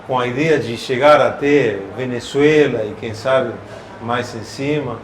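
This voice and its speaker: A man from Argentina with an Argentine accent, calmly telling the story of his old motorcycles and car in a casual talk. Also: mid-range voice, echoey room, a little hiss or hum, low-quality recording. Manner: unhurried, fond of his vehicles, frequent tag questions. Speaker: classic vehicle collector.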